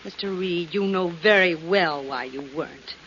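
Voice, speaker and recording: A woman's voice: lowish voice, normal speed, fairly bright tone, close to an everyday voice. An actress playing an indignant, insistent young woman with an earnest, intense manner in a 1940s radio drama. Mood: accusing. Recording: hissy muffled 1940s radio recording.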